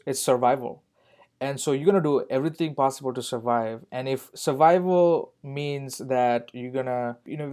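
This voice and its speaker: A man's voice: medium pitch, mellow. A man speaking as a podcast guest, earnest, repeating key points for emphasis. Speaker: guest on a youth ministry podcast. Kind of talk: reflective podcast conversation.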